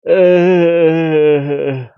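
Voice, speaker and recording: A man thinking aloud in a halting mutter as he gives casual commentary on his hobby game development. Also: a little high, steady tone, clear, resonant tone, no room sound. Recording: dull lo-fi audio, faint music. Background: faint music.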